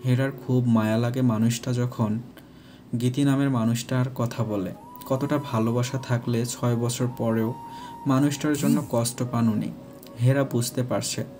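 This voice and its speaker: Guy narrating a love story, soft dark voice, pretty even tone, light music behind. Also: mid-range voice, moderate pace. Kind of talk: scripted romantic story narration.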